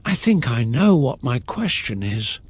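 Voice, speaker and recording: A man voicing a curious, hesitant young boy with a quiet, wondering manner in a bedtime story. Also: mid-range voice, very animated, a little slow, pitched below the performer's own voice. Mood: quietly wondering.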